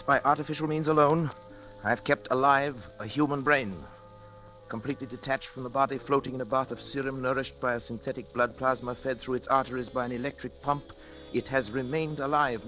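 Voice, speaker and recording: An actor playing an obsessed, paranoid middle-aged scientist in a theatrical, urgent 1940s radio drama style. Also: male voice, mid-range voice, fairly even intonation, a little fast, fairly bright tone, close to an everyday voice. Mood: grandiose, obsessed. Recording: vintage radio recording with music behind.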